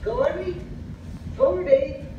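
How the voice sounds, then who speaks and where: A woman's voice: sits high, very slow. A woman on a theatre stage playing a bossy, nagging mother calling to her grown son, radio-play style. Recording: very echoey, muffled, distant, noisy theatre.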